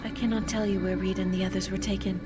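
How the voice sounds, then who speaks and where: A woman voicing a composed, cryptic artificial intelligence in a video game, speaking in measured, formal phrases. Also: medium pitch, little pitch movement, lightly acted. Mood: calm warning. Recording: loud music behind.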